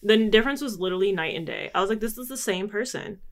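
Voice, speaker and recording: A woman's voice: mid-range voice, fast. A young woman hosting a casual talk, thoughtful and rambling, laughing easily. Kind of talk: casual podcast chat.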